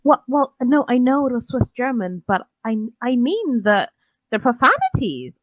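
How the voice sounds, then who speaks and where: Measured woman player on a muffled mic. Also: on the high side, very animated, dry recording. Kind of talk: casual tabletop play.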